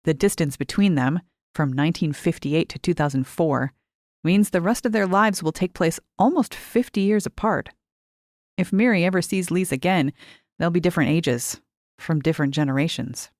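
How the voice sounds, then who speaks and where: A woman reading short fiction as a scripted narration, measured and clearly articulated. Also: on the low side, a little mellow, no room sound, clean studio sound. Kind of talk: scripted audiobook-style narration.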